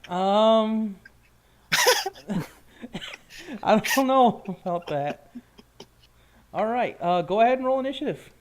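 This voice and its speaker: Higher-voiced man running a tabletop game. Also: lively intonation, slow pace, pauses often. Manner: informal, hesitant while checking rules. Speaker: game master. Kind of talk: casual tabletop roleplaying narration.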